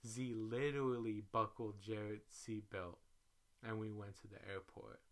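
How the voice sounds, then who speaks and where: A man reading a social media story aloud in an animated, slangy, profane way, as a dramatic storyteller. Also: on the low side, measured pace. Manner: animated, profane, slangy, acts out each quote. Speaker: reader, storyteller.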